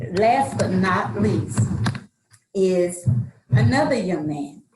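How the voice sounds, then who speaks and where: A woman's voice: lowish voice, roomy sound, noisy background. A woman introducing her adult education students on a video call, proud and encouraging. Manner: warm, proud, slow-paced encouragement. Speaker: adult education program coordinator.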